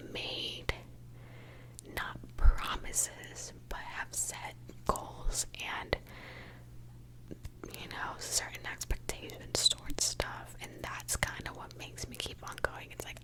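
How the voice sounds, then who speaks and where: A young woman from Mexico, an ASMR creator, whispering a candid, chatty question-and-answer session. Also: low-quality recording.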